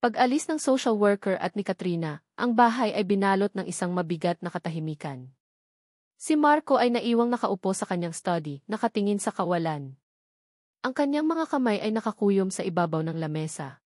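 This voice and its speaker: A woman's voice: mid-range voice, lively intonation, a little fast, a little mellow, no room sound. Woman narrating a dramatic story, dry sound.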